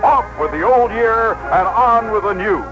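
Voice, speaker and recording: A man's voice: high voice, a little slow, poor audio. A man playing an earnest, easily flustered street reporter in a radio drama, polite and sentimental in announcer style. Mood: cordial. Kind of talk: 1960s radio acting, live commentary. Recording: old radio broadcast with background music.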